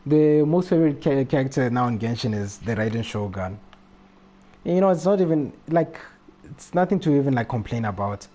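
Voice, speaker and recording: A man's voice: medium pitch, lively intonation, brisk, mellow. A man talking casually as a live game streamer, rambling and often repeating his phrases. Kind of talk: casual gaming livestream chat.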